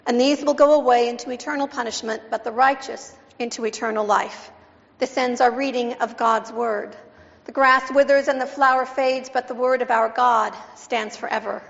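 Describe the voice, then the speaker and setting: A woman pastor reading scripture and giving a sermon, measured and emphatic, asking the congregation questions. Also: on the high side, steady tone, vocal fry. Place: church sanctuary. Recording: dull sound with long church reverb.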